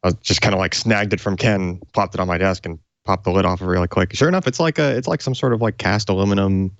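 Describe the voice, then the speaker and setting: A man co-hosting a tech podcast, chatting casually and haltingly, repeating words and leaning on filler phrases. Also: low-pitched, dry recording, dull audio. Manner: halting, repeats words, peppered with "you know". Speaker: podcast co-host.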